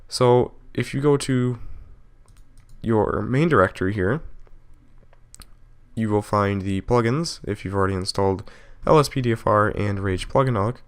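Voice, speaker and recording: A man narrating a software tutorial casually, explaining step by step in an informal way and correcting himself as he goes. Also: fairly low pitch, moderate pace, clean tone. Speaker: video tutorial host.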